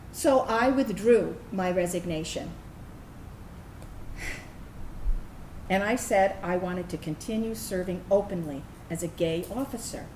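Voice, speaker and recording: A woman's voice: a little high, lightly acted. A woman acting a reflective former Navy officer, reading an earnest personal monologue on stage. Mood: earnest, resolute. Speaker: actor reading a veteran's personal narrative. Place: indoor room, staged reading. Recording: echoey room, steady background noise.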